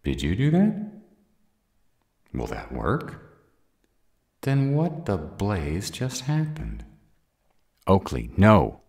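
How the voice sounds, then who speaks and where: Very deep, gravelly, hot-tempered were-dragon man acting. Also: wildly swooping pitch, drawn-out words, long pauses, darkish tone, no echo, studio quality, exaggerated voice. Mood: exasperated. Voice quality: gravelly, raspy. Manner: growling, impatient, blunt. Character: gruff, hot-tempered male were-dragon, fiercely protective of his mate. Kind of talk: audio roleplay drama acting.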